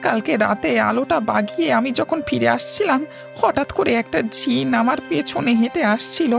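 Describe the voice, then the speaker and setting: Woman as a very creaky, earnest village lad. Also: high-pitched, lively intonation, moderate pace, faint music behind, heavily acted. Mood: anxious earnest. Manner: pleading, defensive. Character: earnest, timid young male village handyman. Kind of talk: cartoon story acting.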